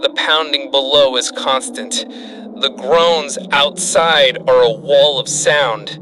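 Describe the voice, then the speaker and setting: A man narrating scripted horror dramatically and gravely, in clipped, halting phrases with heavy pauses. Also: mid-range voice, sharp tone, a little hiss or hum, poor audio.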